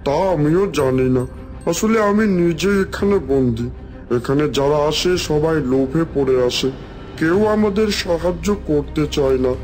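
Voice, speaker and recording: A man playing a kindly, helpful talking tree held captive in a cartoon fairy tale, calm and plaintive. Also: fairly high pitch, normal speed, soft background music, close to an everyday voice. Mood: sorrowful.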